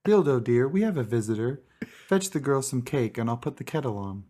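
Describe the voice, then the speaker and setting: Old lady gnome for comic storytelling, acted by a man: hospitable, chattering, low and mellow. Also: expressive, fairly quick, lightly acted. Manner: doting, chattering, motherly.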